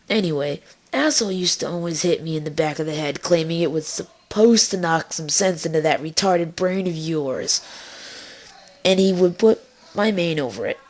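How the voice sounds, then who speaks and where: A tough, foul-mouthed young cartoon-style mare played by a woman. She speaks on the low side with a sardonic, nonchalant edge, tossing out lines wryly. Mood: bitter, sardonic.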